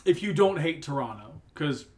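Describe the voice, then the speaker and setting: Slightly high-voiced young man talking sports. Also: expressive, moderate pace, darkish tone. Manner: teasing, then earnest and reflective. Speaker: podcast co-host. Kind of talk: casual sports chat.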